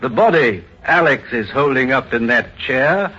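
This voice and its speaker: A man acting an excited, driven doctor and researcher in a dramatic 1950s radio play style. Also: mid-range voice, unhurried, breathy edge, heavily acted. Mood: assured, triumphant. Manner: dramatic, theatrical. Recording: muffled vintage radio recording.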